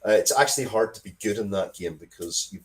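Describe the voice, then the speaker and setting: A middle-aged man with a fairly low voice talks casually in an interview. He rambles through his stories with enthusiasm and swears freely, like a creator chatting about his own work. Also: quick pace, rough recording. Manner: sweary, rambling, animated storytelling. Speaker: interview guest, game and comics creator.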